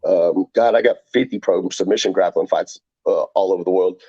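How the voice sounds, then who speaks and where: Guest fighter, male, lower voice, mellow tone, excitable and profane, dry dull recording. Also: a little fast, slightly rough, dry recording. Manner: rambling, excitable, swears freely, acts out quotes. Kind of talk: casual podcast chat.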